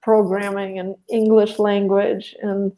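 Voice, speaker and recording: A woman's voice: medium pitch, level tone, slow pace, mellow, low-quality recording. A young woman from Russia, a podcast guest talking casually and rambling with many restarts.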